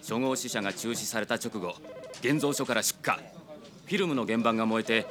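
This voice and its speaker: Man playing eager junior guy giving a report, anime radio drama, normal pitch, urgent but polite. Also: fairly quick, over music, lightly acted. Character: keen younger male informant reporting to his superior.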